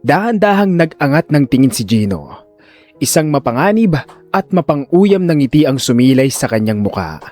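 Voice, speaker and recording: A man's voice: a little high, sing-song, moderate pace, a little mellow, soft background music. A man giving dramatic scripted narration for an audio story.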